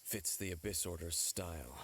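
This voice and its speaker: Low, aloof, world-weary swordsman. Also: creaky, very noisy. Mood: grave. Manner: grave, measured, guarded. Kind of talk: video game voice acting.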